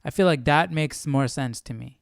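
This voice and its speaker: A man's voice: a little high, normal speed, gravelly, dry recording, clean studio sound. A man hosting a casual Bible study stream, thinking aloud in a questioning way and often repeating and rephrasing himself as he reasons. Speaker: livestream Bible study host.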